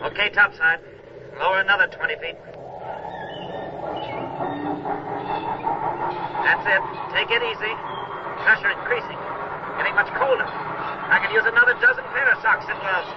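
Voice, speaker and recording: A high-voiced, terse diver. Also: male voice, expressive, fairly quick, distant mic. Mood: strained, businesslike. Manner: clipped, loud reporting. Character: terse, tough deep-sea diver reporting by phone. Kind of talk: 1940s radio drama acting. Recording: muffled lo-fi radio recording, noisy background.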